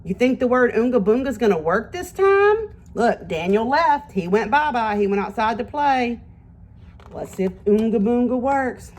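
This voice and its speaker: A woman teacher giving an animated read-aloud lesson to young children, asking simple questions and encouraging them. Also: fairly high pitch, moderate pace.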